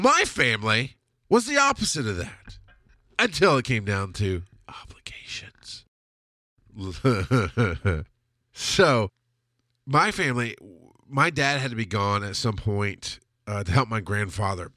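A man's voice: fairly low pitch, big pitch swings, measured pace, bright, forceful tone, no echo, clean studio sound. Stuffy-nosed man rambling on a podcast. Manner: rambling, profane, laughs often. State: stuffy nose. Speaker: podcast host. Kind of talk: casual storytelling monologue. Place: home studio.

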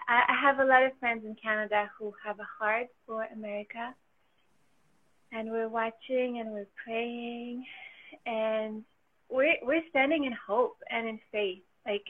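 A woman with a French accent speaks in a fairly high voice as a guest in a casual chat. She laughs often and sounds hopeful and full of faith. The sound is thin and narrow, like a phone line. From France. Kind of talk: casual conversation.

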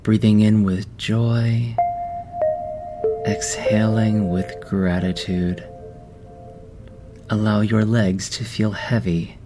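Male meditation guide, very slow, soothing, low voice, music underneath. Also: flat delivery. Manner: slow, soft, soothing delivery with long pauses.

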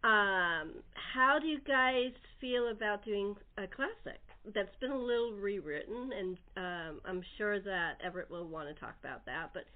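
A woman's voice: mid-range voice, normal speed, few pauses. A woman hosting a casual cast interview show. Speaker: podcast host and producer. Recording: thin phone-like line.

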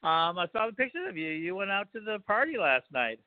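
A man's voice: sits high, sing-song, a little fast, dry recording. Older man hosting over a tinny line. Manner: rambling, hesitant with many fillers. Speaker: podcast host. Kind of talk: casual podcast talk.

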